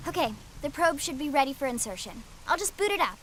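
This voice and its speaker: A young female technician in a sci-fi game, with a high, youthful voice. She is perky and keen, reporting in an eager, chirpy way over noisy ambience. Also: lively intonation, fast, fairly bright tone. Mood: upbeat, eager. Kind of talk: scripted video game dialogue.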